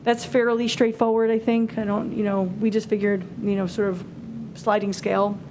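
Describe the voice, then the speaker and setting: A woman's voice: mid-range voice, flat delivery, normal speed, background noise. A woman in her forties presents a scoring rubric to a committee in a town hall auditorium, talking it through with many fillers and restarts. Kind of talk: public meeting presentation. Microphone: table microphone.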